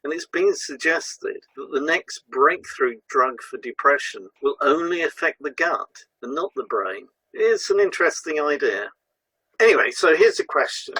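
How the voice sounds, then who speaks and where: A man's voice: fairly high pitch, sharp tone, slightly rough, dry recording, rough recording. A man presenting a scripted educational talk as a narrator.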